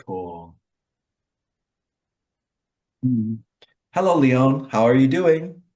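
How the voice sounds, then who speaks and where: A man's voice: mid-range voice, sing-song, extremely slow, darkish tone. A man hosting a casual online English practice call, explaining things in a rambling, hedging way. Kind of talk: casual group discussion.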